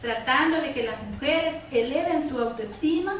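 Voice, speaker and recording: Echoey, high-pitched woman on a panel. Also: lively intonation, normal speed, fairly bright tone. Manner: conversational, frequent tag questions. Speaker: women's organization activist, panelist. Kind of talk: speaking on a public debate panel. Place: public debate hall with audience. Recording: very echoey hall, distant mic, faint noise.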